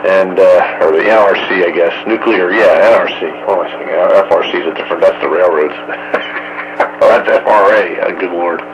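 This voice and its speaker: Low-voiced man on static-filled radio. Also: fairly even intonation, moderate pace, dull audio. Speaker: radio hobbyist in a group conversation. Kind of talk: casual radio chat. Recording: noisy two-way radio audio.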